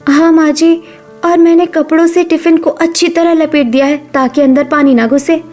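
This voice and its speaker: A woman's voice: sits high, steady tone, normal speed, darkish tone, faint music behind. A woman voicing the women of a family cartoon story, with a plaintive, pleading delivery. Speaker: voice artist for all women figures. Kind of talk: cartoon dubbing of family drama.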